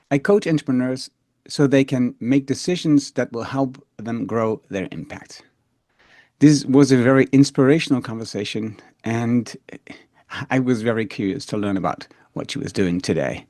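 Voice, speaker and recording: A man hosting a podcast, introducing his guest and asking questions in a measured way. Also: mid-range voice, dark tone, no echo, clean studio sound. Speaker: podcast host and coach.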